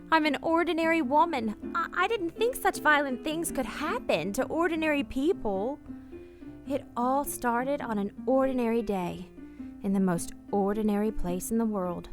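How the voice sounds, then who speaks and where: An actress playing an earnest, guilt-ridden married woman in a radio play, speaking in a refined, hesitant way. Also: fairly high pitch. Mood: anguished, confessional. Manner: hesitant, earnest, refined, with halting repeated words. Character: married woman, anxious, guilt-ridden, tenderly confessing a secret love. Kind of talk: radio play acting with confessional narration.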